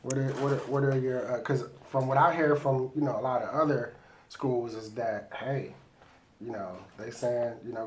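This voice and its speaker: Male sports host, chatty and loose, mid-pitched voice, faint noise behind. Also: darkish tone. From Pittsburgh. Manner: chatty, loose, many fillers. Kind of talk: casual sports interview.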